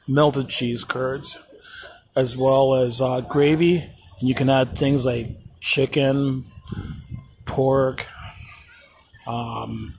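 Man vlogging outdoors, slow halting delivery, lots of ums, street noise behind. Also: mid-range voice. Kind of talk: casual food review vlog. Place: outdoor pedestrian plaza downtown.

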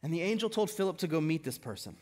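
A man in his thirties preaching a sermon from a pulpit in a church hall, animated and rising to emphatic peaks, reading scripture aloud into a stand mic. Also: fairly high pitch, quick pace. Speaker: guest preacher. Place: church stage, mid-size indoor hall.

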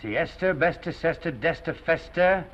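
A man's voice: on the high side, lively intonation, moderate pace, a bit bright. A man playing a kindly but decisive studio boss, acted in 1930s Hollywood film style. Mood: musing, insistent. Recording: dull vintage film soundtrack.

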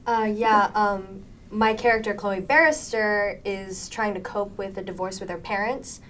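A teenage young woman talks at a medium pitch as a student actor being interviewed. Her delivery is casual, and faint room noise is audible behind her. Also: unhurried, a bit bright. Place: indoor panel, seated group. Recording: faint background ambience.

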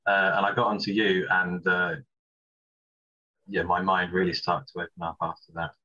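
A man's voice: low voice, little pitch movement, normal speed, sharp tone. A man, a composer guest, talking hesitantly with many ums. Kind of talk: casual interview chat. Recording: dull lo-fi sound.

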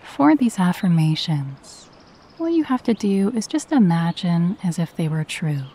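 Soft, husky, mellow woman guiding meditation. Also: lowish voice, big pitch swings. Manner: gentle, soothing, unhurried delivery. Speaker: meditation guide. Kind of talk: guided meditation with spoken affirmations.

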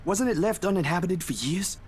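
Easygoing, gentle young guy. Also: high-pitched, lively intonation, brisk, slight background noise. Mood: musing. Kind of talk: visual novel voice acting.

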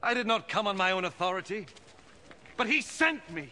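A man's voice: sits high, expressive, normal speed, bright, forceful tone, exaggerated voice. An actor in his thirties playing an impassioned young preacher confronting doubters in a film drama, declamatory and earnest. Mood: firm, earnest. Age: 30s. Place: open stone courtyard. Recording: noisy outdoor crowd ambience.

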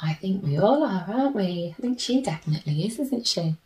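A woman in her thirties reading a picture book aloud to children in a gentle, deliberate manner, with chatty asides. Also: medium pitch, expressive, slight background noise. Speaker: children's story reader. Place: small plain room indoors.

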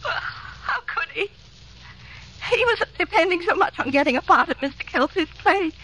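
An actress playing a distraught, pleading, tearful wife in a vintage radio melodrama. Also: female voice, extremely high pitch, lively intonation, normal speed, bright, forceful tone, exaggerated voice, poor audio. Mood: despairing. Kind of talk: 1950s radio melodrama acting.

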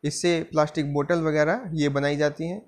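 A man giving a civil engineering slide lecture. He reads each line slowly, then explains it in Hindi, with frequent "theek hai" fillers. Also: fairly high pitch, steady tone. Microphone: voice-over on screen-recorded slides.

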